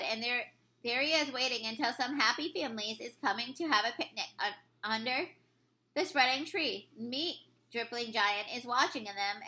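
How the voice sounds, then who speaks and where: A woman voicing a gentle, kindly male giant from a children's storybook, explaining earnestly in muddled grammar with invented words. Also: fairly high pitch, poor audio. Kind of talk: children's storybook read-aloud.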